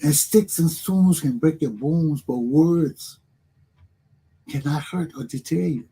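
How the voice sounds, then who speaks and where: Liberian man with a husky voice. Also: a little high, takes it slowly, warm tone. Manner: preachy, repetitive, emphatic. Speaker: talk show host. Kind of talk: motivational talk show monologue.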